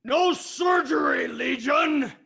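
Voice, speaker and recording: Furious orc warlord, shouting, very high strained pitch, drawn-out commands, fantasy comedy. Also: male voice, creaky, heavily acted. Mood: furious, commanding. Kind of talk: fantasy comedy table read.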